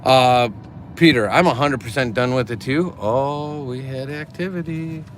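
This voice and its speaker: A man's voice: mid-range voice, unhurried, slight background noise. A middle-aged man hosting a casual livestream from a car, reading out viewer comments in a chatty, upbeat way and laughing easily. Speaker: livestream host.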